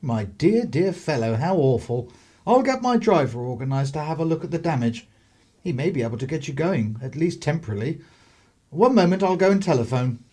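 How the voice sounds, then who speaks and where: A man's voice: fairly high pitch, animated, fairly quick, dark tone. An actor playing a courteous, old-fashioned 1930s English clergyman, a hospitable country host in a radio play. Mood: solicitous.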